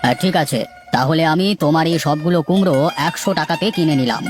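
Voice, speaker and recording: An actor playing a fair, businesslike merchant in a cartoon, commanding yet measured in manner. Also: male voice, fairly high pitch, lightly acted. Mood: kindly. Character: kind, authoritative merchant man, fair but businesslike. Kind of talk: cartoon voice acting. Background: background music.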